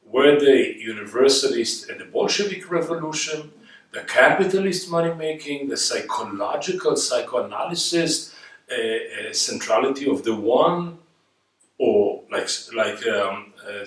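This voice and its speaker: A man's voice: medium pitch, expressive, measured pace. Echoey, distant man with an Israeli accent. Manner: emphatic, rhetorical questions, builds points by repetition. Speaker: guest speaker. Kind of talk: reflective spoken lecture on history. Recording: echoey room, distant microphone.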